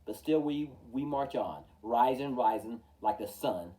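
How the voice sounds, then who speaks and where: Male storytime reader: mellow tone, lively swooping intonation, emphatic rhyming verse, recorded a little far from the mic. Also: medium pitch, a little slow. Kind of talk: expressive children's picture-book read-aloud. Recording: distant from the mic.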